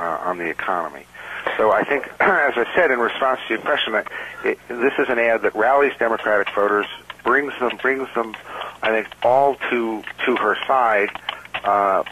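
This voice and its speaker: A low male voice with a bright, forceful edge, heard over a conference call with faint noise. He is a strategist answering reporters, building his points deliberately with frequent hesitations. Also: low-quality recording. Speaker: campaign strategist.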